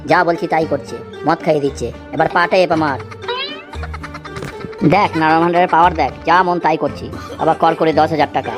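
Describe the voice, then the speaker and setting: A man's voice: on the high side, fairly even intonation, brisk, music in the background, lightly acted. A man voicing a boastful village husband in a comedy cartoon, swaggering and giving orders. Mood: gloating. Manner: swaggering, imperious. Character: boastful village husband lording over his wife. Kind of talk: Bengali comedy cartoon dialogue.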